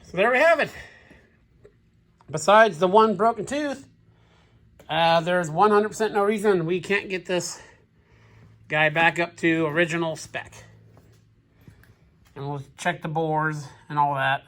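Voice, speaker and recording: A man's voice: fairly high pitch, unhurried, pauses often, a bit bright. A man with a cold, sniffling now and then, thinking aloud in casual commentary as he takes apart an old machine in his shop. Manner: thinking aloud, plain-spoken, musing. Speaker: machinist, channel host.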